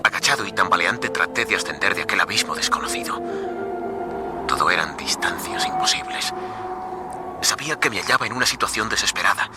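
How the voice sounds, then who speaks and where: A man's voice: low-pitched, expressive, a little fast. An actor playing a desperate stranded man in a suspense radio drama, speaking dramatically. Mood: tense, hushed. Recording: dramatic music behind the voice.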